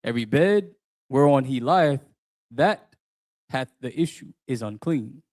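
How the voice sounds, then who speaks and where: A man's voice: medium pitch, lively intonation, a little slow, darkish tone. Man reading scripture from afar. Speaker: scripture reader. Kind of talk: reading old scripture aloud.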